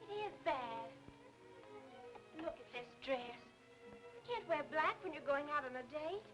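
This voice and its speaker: An actress playing a lively, fussing young sister in 1940s film noir style, brisk and wisecracking. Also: female voice, sits high, poor audio. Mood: concerned, chiding. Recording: old film soundtrack with music underneath.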